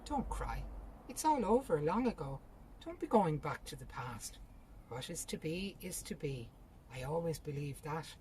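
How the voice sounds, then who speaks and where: A woman's voice: deep voice, sing-song, a little slow, darkish tone, slight background noise. A woman voicing a practical, bossy, consoling sister in a short story. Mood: brisk consoling.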